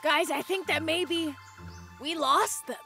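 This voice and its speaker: A woman voicing a helpful, excitable young boy tiger cub in a children's cartoon, earnest and peacemaking. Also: sits high, slow pace, faint music behind, exaggerated voice. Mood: puzzled. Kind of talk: dubbed children's cartoon acting.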